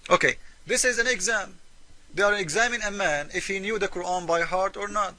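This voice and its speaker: A man's voice: sits high, a little fast, pushed and bright, slight background noise. A man whose first language is Arabic, speaking English as a second language while giving argumentative commentary. He talks emphatically and mockingly, firing rhetorical questions at the viewer. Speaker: religious debate commentator.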